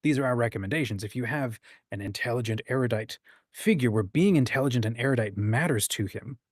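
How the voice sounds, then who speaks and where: A man's voice: medium pitch, quick pace, husky, no room sound, clean studio sound. A man hosting a casual discussion, explaining his ideas and sometimes acting out short examples. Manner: acts out short quoted examples. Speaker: host of a writing discussion.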